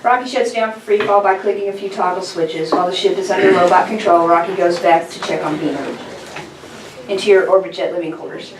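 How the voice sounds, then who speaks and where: A woman's voice: on the low side, steady tone, a little fast, background noise. A woman reading out stage directions as the narrator at a script table read. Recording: roomy, distant mic, chattering group behind.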